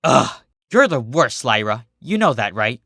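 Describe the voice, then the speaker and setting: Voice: mid-range voice, sing-song, a little slow, sharp tone, no room sound, clean studio sound, exaggerated voice. A performer voicing a nervous, exasperated young female cartoon unicorn who is easily flustered and scolding.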